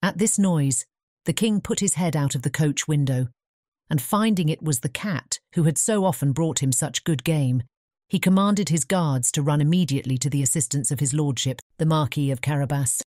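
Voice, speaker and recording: A woman's voice: low-pitched, normal speed, mellow, no room sound, clean studio sound. A woman with a British English accent reading scripted fairy-tale narration.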